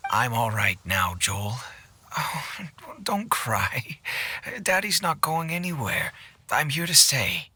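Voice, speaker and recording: A man playing a gentle, halting father in a video game cutscene, repeating words as he goes, with noise behind him. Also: medium pitch, big pitch swings, full character voice. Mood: tender, reassuring.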